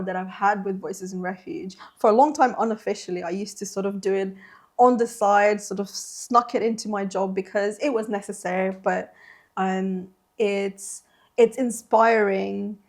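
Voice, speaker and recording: A woman's voice: medium pitch, fairly even intonation, a little slow, darkish tone. A woman whose first language is Slovene, answering interview questions on a podcast in a thoughtful, self-deprecating way with many fillers. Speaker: interpreter trainer.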